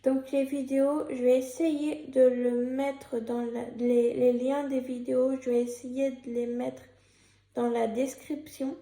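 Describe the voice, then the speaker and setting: Hoarse young woman with a cold. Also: high voice, little pitch movement, measured pace, dark tone, reverberant. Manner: hesitant, many fillers and restarts. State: has a cold and a sore throat. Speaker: YouTuber. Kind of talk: casual vlog commentary, partly read from a script.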